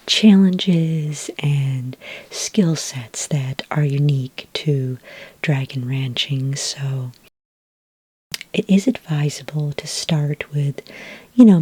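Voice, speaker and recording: A woman speaking softly and breathily, close to the microphone, in a gentle, unhurried ASMR roleplay as a patient expert advising a beginner. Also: low-pitched, no room sound. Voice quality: soft, breathy, mostly whispery. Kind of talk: soft-spoken ASMR roleplay, conversational advice to the listener. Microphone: close microphone, dry sound.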